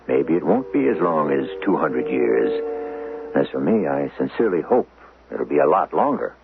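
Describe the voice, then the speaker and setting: A man hosting and narrating a 1970s radio mystery, with a portentous, rhetorical scripted delivery. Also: very deep voice. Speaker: radio drama host and narrator. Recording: muffled, humming old radio broadcast.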